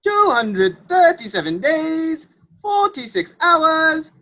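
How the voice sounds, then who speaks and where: A woman's voice: very high-pitched, sing-song, takes it slowly, bright, forceful tone, full character voice. A woman playing an unbending, officious clerk in a comedic radio play, clipped and matter-of-fact. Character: unbending, officious bureaucratic clerk, deadpan and obstructive.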